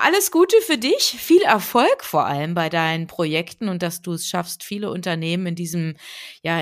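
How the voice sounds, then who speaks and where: A woman hosting a podcast interview, summing up and giving effusive thanks. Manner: warm effusive thanks, rises high in farewells. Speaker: podcast host.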